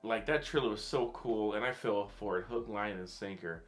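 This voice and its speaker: A man with a fairly low voice talks in a loose, rambling podcast style. He is informal and enthusiastic, and guitar music plays clearly behind him. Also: normal speed. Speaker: podcast co-host. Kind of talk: casual podcast chat.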